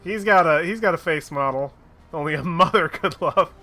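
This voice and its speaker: A man's voice: on the high side, moderate pace, pushed and bright. A man streaming a story game, joking and swearing freely in casual commentary. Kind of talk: casual let's-play commentary.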